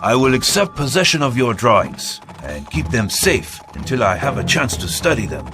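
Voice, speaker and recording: An actor playing a resolute, authoritative admiral in a dramatic game cutscene, speaking deliberately. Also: male voice, mid-range voice, big pitch swings, close to an everyday voice. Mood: commanding, curious. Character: commanding, resolute Korean admiral. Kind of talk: dramatic scripted game acting. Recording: loud music behind the voice.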